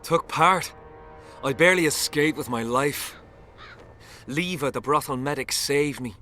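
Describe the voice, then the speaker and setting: A man's voice: a little high, unhurried, bright, forceful tone, soft background music. An actor playing a shaken, embittered common soldier in a video game, telling his story bluntly and dramatically. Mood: weary. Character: shaken, embittered low-ranking soldier, humiliated and haunted.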